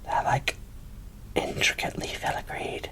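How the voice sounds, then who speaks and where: A woman whispering casual, gushing ASMR commentary as she presents an unboxing, in a breathy, informal way. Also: a little slow, a bit bright.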